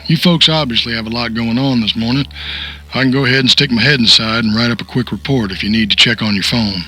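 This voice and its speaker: An easygoing, businesslike policeman in an audio drama. His mid-pitched voice is bright and he speaks in a matter-of-fact, obliging way. Music runs underneath. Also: fast talker, rough recording. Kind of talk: naturalistic audio drama acting.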